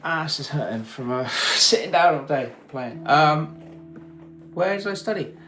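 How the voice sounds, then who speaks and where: A man's voice: on the high side, lively intonation, measured pace, fairly bright tone. A British man teaching guitar casually on a live stream, thinking aloud in short, informal bursts. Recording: roomy echo with guitar playing.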